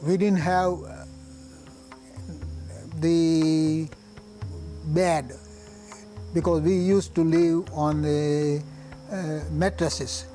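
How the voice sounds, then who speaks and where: An older man with a Gujarati Indian accent, native in Gujarati, looks back on his childhood in an oral history. His voice sits a little high for a man but sounds mellow and soft-edged, and he talks plainly, pausing and restarting as he searches for words. Faint music plays softly behind him. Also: steady tone. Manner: halting, plain-spoken, pauses and restarts. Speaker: physician recalling his life.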